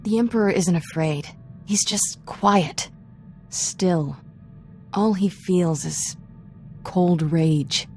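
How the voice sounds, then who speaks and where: Slow-speaking, haunted young Jedi woman. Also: fairly low pitch, darkish tone, faint music behind. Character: fearful, haunted young female Jedi sensing doom. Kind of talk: cinematic video game acting.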